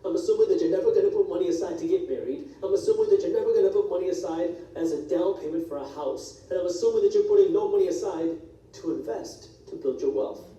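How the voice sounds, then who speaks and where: Brief: man, Punjabi first language, finance explainer, high and dark-toned, replayed through hall speakers, distant. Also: sing-song. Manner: brisk, direct, walks through numbers. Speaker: personal finance educator. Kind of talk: scripted explainer video. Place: played on speakers in a church hall. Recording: video replayed in an echoey hall, heard from afar.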